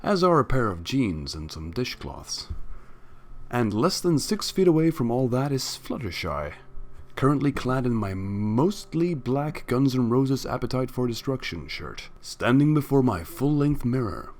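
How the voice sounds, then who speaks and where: A man's voice: medium pitch, very animated, measured pace. A man reading first-person fan fiction aloud as a dramatic narrator. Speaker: story narrator.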